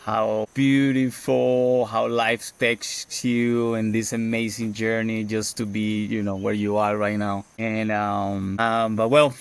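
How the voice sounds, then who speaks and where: Gravelly, flat-voiced Colombian man. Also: on the low side, takes it slowly, few pauses, no room sound. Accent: Colombian Spanish. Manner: reflective, many fillers and restarts. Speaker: guest, permaculture farmer. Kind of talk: casual podcast interview.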